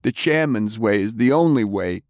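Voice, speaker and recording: A man voicing a defiant but frightened captured thug with rough, uneducated American speech. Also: mid-range voice, expressive, measured pace, no echo, clean studio sound, pitched above the performer's own voice, close to an everyday voice. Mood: tearful, fanatical.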